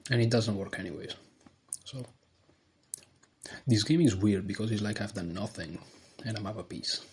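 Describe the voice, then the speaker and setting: A man with a deep voice talking quietly through his moves as he plays an online chess game. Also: moderate pace, slight background noise. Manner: quiet, low-voiced thinking aloud. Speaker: chess streamer. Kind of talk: live game commentary.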